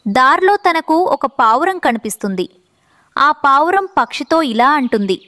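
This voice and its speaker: High-pitched woman narrating a children's story. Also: lively intonation, measured pace, pushed and bright, dry recording, clean studio sound.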